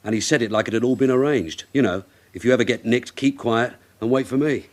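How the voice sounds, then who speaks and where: An actor playing a dogged, brisk police detective leading an inquiry in a radio drama. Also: male voice, medium pitch, close to an everyday voice. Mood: focused.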